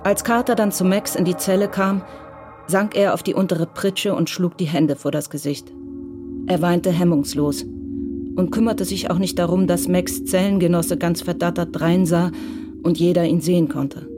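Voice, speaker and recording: Radio drama narrator, measured storytelling, clear music behind. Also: medium pitch, steady tone. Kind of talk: scripted narration.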